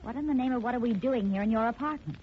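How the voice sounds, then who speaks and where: A woman playing an exasperated, excitable companion of a detective in a 1940s radio drama, familiar and exclamatory. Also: fairly high pitch, racing, close to an everyday voice. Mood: bewildered, insistent. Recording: dull, noisy 1940s radio recording.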